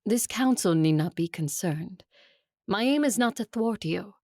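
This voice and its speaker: An actress playing an ambitious, coolly ruthless female vampire clan leader in an audio drama, making proud, measured, formal declarations. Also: medium pitch, expressive, dark tone, no echo, studio quality. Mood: resolute.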